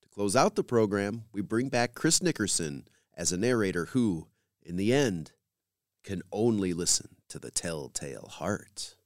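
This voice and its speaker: Lively-pitched man hosting a podcast, dry sound. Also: measured pace, no room sound. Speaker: podcast host. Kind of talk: scripted podcast host narration.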